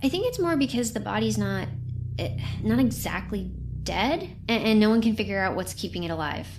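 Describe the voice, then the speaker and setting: A woman's voice: medium pitch, expressive, fairly quick, close to an everyday voice. Female, actress as chatty, anxious sci-fi lab researcher, mid-range voice, hesitant, light noise behind. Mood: hesitant, awkward. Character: nervous, eager, chatty woman lab scientist. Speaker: actor in audio drama. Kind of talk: science fiction audio drama acting.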